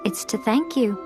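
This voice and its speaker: An elegant, worldly shrine priestess in a video game. Her voice is high and refined, with a sly, playful composure. Music plays clearly behind her. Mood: gracious. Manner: playful, refined, self-assured. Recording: music playing under the voice.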